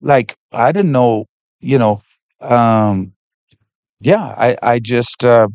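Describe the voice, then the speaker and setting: A man from Canada, a podcast guest giving an earnest, heartfelt faith testimony and pausing to search for words. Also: mid-range voice, a little mellow, dry recording.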